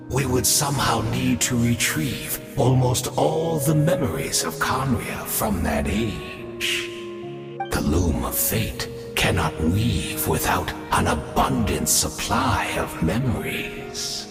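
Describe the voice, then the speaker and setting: Gravelly, echoing, slow-spoken grandiose villain. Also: male voice, on the low side, heavily acted. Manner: theatrical, measured, mock-courteous, swelling into fervour. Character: grandiose scheming villain, centuries-old exile obsessed with reviving homeland. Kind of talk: dramatic video game voice acting. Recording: echoey hall reverb under music. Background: game score music.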